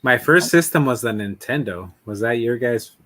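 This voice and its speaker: A man's voice: mid-range voice, animated, normal speed, a bit bright. Inquisitive man in his late thirties. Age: 30s. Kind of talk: casual chat.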